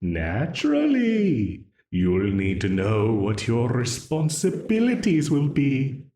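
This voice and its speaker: A man playing a slow, mocking demon. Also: mid-range voice, huge pitch swings, mellow, reverberant, heavily acted. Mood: menacing, mocking. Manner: slow, taunting, with frequent laughs. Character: dominant scheming male demon, smug and menacing. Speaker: voice actor in an audio roleplay. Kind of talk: audio roleplay acting.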